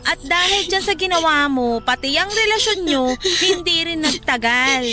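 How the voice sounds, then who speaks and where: A very high-pitched young woman as the exasperated best friend in a radio drama. She is frank and scolding, loyal underneath. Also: expressive, normal speed. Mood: frank, firm. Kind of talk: Filipino radio drama acting.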